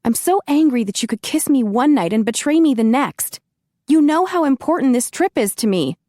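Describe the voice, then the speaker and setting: A woman's voice: on the high side, animated, moderate pace, darkish tone, no echo, clean studio sound, voice pushed up, lightly acted. A woman narrator voicing an indignant young woman who scolds in a heated, exasperated way. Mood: angry.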